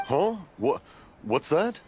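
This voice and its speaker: A man's voice: fairly high pitch, sing-song, takes it slowly, very noisy. A man playing a cheerful old craftsman father in a video game, hard of hearing and given to rambling stories and confused exclamations. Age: older.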